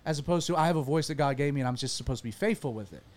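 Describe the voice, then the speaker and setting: A man who pastors and hosts a talk show, chatting casually with a panel, animated and self-deprecating, often restarting his sentences. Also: fairly high pitch, racing. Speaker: pastor and podcast host.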